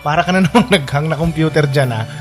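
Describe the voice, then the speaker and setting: An actor playing an expressive, lovestruck young man in a radio drama, engaged and playful with his best friend. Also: fairly high pitch, quick pace, close to an everyday voice. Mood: playful, confiding. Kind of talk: radio drama acting with first-person narration. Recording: music behind the voice. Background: background music.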